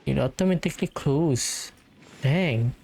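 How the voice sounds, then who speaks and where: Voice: mid-range voice, a little slow, gravelly, a little mellow, a little hiss or hum. A gamer doing casual commentary on their own playthrough, tentatively muttering and thinking aloud. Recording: game music under the voice. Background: video game music.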